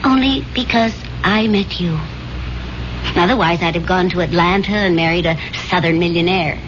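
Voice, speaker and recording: A woman's voice: lowish voice, moderate pace, natural voice. An actress playing a wistful young woman reminiscing with her sweetheart in earnest, reflective 1970s radio drama style. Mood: fond, teasing. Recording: dull, noisy 1970s radio recording.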